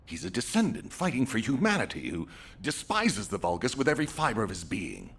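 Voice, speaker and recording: Stern game commander, husky, very animated pitch, authoritative, impatient, clipped. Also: male voice, fairly quick, exaggerated voice. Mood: troubled, insistent. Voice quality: gravelly, husky. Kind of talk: video game voice acting.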